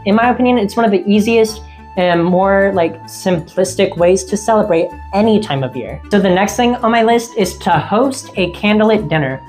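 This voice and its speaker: A woman casually vlogging about seasonal witchcraft in a friendly, rambling way, her voice getting a little raspy. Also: medium pitch, moderate pace, darkish tone, faint music behind. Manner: rambling, friendly, laughs often. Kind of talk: casual chatty vlog.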